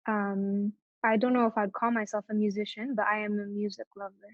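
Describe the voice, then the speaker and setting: A woman's voice: medium pitch, flat delivery, a little fast, no echo, highs cut off. A young woman from Morocco, a guest talking casually in an interview and hesitating often with fillers and restarts. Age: young adult.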